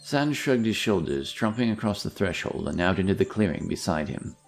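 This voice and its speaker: Deep, gravelly male fantasy narrator. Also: animated, normal speed. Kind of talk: scripted fantasy story narration. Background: music.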